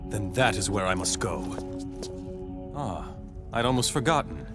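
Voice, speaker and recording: An actor playing a stern young warrior bent on vengeance in a video game, speaking in formal, measured, terse phrases. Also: male voice, on the low side, expressive, over music. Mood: stern, guarded. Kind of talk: dramatic game cutscene acting, archaic formal dialogue.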